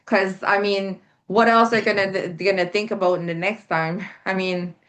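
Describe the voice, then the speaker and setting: A woman's voice: medium pitch, steady tone, normal speed, fairly bright tone. A Canadian woman speaking as an interview guest, earnest and emphatic, often repeating her point. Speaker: guest, health coalition organizer. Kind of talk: casual interview talk.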